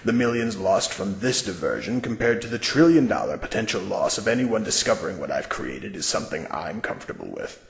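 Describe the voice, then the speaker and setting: A man playing a cold, scheming corporate scientist in an audio drama, measured, smug and condescending. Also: lowish voice, big pitch swings, creaky, slight background noise. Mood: smug, calm. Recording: roomy echo, music underneath.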